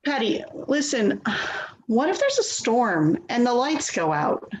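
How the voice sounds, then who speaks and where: Woman acting anxious daughter, high voice, echoey room. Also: huge pitch swings, a little slow, darkish tone. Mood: concerned. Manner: placating, hesitant, self-blaming. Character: Louise, anxious self-doubting grown daughter of a difficult mother. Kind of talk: dramatic play reading, naturalistic dialogue. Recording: echoey, dull, noisy remote recording.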